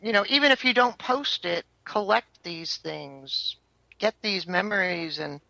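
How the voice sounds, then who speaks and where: An American singer-songwriter in her fifties chats through an interview, rambling along with plenty of fillers. Her voice is bright and forward. The sound is dry and close. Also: mid-range voice, unhurried, gravelly, no echo, poor audio. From United States. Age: 50s. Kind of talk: casual interview chat.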